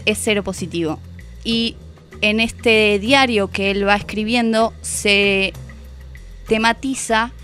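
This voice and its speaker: A woman's voice: mid-range voice, fairly bright tone. An Argentine woman giving a conversational book review on the radio, thoughtful and hesitant, rephrasing as she goes. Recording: music playing under the voice. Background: background music.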